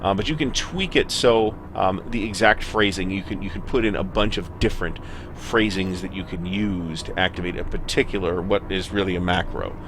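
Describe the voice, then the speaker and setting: Low voiced guy rambling through a game video, halting, clear engine hum behind him. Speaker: gaming video host. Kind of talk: casual gameplay commentary and software walkthrough. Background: spaceship game ambience.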